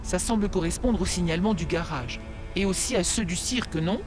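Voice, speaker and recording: An actor playing a respectful, eager, flattering soldier in an audio drama, addressing a superior. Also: male voice, high voice, brisk, lightly acted. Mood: deferential. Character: deferential, flattering soldier serving a commander. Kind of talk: French audio drama acting. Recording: loud music behind the voice.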